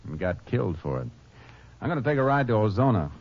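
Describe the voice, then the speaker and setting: A terse, low-voiced ranger. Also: male voice, fast talker. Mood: steady. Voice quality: steady, terse, matter-of-fact. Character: calm, methodical Texas Ranger, narrator. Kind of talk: 1950s radio drama acting and narration. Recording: dull lo-fi old radio recording with faint hiss.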